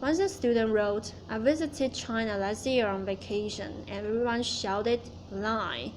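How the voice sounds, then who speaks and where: A woman's voice: a little high, a little slow, slight background noise. A woman student giving a prepared class speech, reciting it carefully and restarting words she stumbles on.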